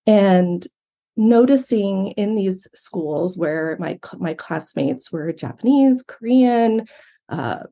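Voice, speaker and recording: A woman with an American accent, a professor giving thoughtful interview answers with frequent ums. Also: fairly low pitch. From Yemen, raised abroad. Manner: thoughtful, steady, filler-heavy. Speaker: guest, psychology professor. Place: remote from Montana.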